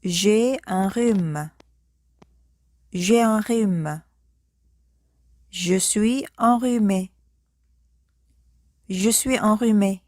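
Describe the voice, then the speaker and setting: Slow, careful woman teacher, dry sound. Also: mid-range voice, a little mellow, no echo. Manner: slow, careful, repeats each sentence phrase by phrase. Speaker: French language teacher. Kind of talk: slow speaking-practice drill.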